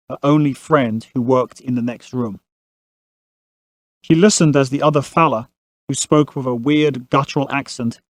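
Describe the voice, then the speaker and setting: A man's voice: mid-range voice, no room sound. A man narrating a family saga as a scripted audio drama storyteller.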